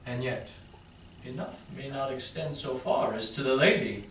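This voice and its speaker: Man playing a proud prince, bright and declamatory. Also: mid-range voice, lightly acted. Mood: pondering. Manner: declamatory, deliberating aloud with weighty pauses. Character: proud, self-assured prince of Morocco deliberating as suitor. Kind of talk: Shakespearean verse, staged reading. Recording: roomy, distant mic, faint noise.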